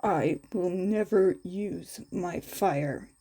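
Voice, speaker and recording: A serious, reserved teenage boy from an audio story, voiced by a woman, pitched down from the narration. He speaks in a subdued, terse way. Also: very slow, dark tone, husky, full character voice. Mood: reluctant.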